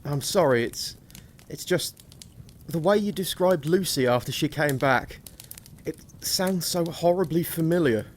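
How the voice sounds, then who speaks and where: An actor performs a shaken young married man in gothic horror theatre. His voice sits a little high, and he speaks with hesitant, apologetic unease. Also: unhurried, slight background noise, natural voice. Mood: haunted, apologetic. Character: shaken, haunted young husband back from abroad.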